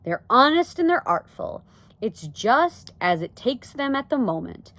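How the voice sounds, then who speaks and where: A woman's voice: on the high side, sing-song, fairly bright tone, no room sound, voice pushed up, full character voice. A woman reading a storybook aloud, voicing a grave, cautious father who speaks firmly in a folksy, ungrammatical way. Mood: cautioning. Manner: folksy ungrammatical speech, measured. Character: grave, steady, plain-spoken father, cautious and firm.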